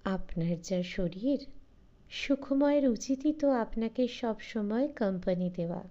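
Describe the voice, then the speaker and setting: A woman reading the part of a reserved middle-aged mother, speaking in a quietly composed way. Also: a little high, animated, unhurried, dull audio. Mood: wistful.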